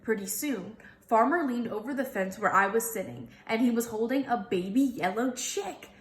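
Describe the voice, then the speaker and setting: High-voiced woman reading a story, roomy echo. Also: animated, moderate pace. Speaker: teacher reading aloud. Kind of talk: expressive children's storybook narration.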